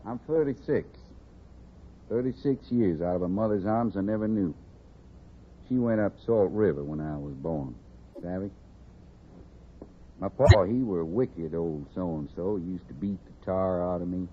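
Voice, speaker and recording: An actor playing a condemned outlaw in his thirties in a radio Western, with a slow, brooding, folksy American Western drawl. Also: sits low. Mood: bitter, reminiscing. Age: 30s. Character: condemned outlaw, thirty-six, bitter, regretful, unschooled. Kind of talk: 1950s radio Western acting. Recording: tinny, hissy 1950s radio recording.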